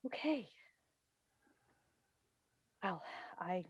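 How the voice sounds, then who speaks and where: A woman's voice: fairly low pitch, expressive, drawn-out words, husky, no room sound, exaggerated voice. A woman in a staged play reading, playing a seasoned, composed talk show host and mother who tries to placate people. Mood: strained, hurt. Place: indoors on a Zoom call. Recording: dry video call recording.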